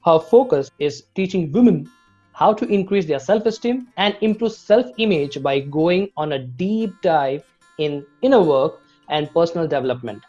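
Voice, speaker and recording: A man's voice: a little high, lively intonation, normal speed, dark tone. A man hosting an interview show, reading formal, scripted introductions and then asking his guest questions. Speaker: host, video creation coach. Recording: dull lo-fi audio with music bed.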